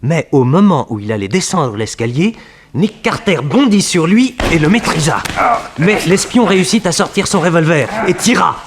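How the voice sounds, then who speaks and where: An actor playing an earnest detective's sidekick, narrating a 1960s French radio serial in a measured, dramatic storytelling style. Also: male voice, on the high side, animated, background noise, natural voice. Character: earnest male detective's sidekick recounting adventures.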